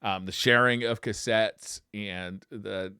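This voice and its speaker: A man's voice: lowish voice, fairly even intonation, takes it slowly, pushed and bright, clean tone, no room sound, clean studio sound. An American man in his thirties, joking and slangy as he chats casually as a podcast host. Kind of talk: casual chat about retro gaming.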